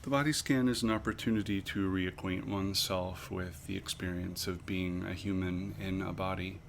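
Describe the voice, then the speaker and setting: A man leading a guided body scan meditation as an instructor, with measured, pausing, gently instructive phrasing. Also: low voice, fairly even intonation, vocal fry, a little hiss or hum.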